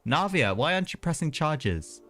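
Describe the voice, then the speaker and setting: A man's voice: on the high side, very animated, normal speed, a bit bright. A man livestreaming a video game, giving casual, chatty commentary with rambling, self-mocking asides. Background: game music.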